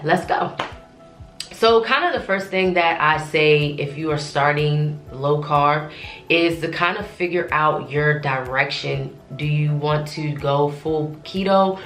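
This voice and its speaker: A woman's voice: low-pitched, fairly bright tone, roomy sound. A young woman vlogger with a congested voice from a cold, chatting casually to camera with lots of fillers and restarts. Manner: chatty, rambling, frequent fillers.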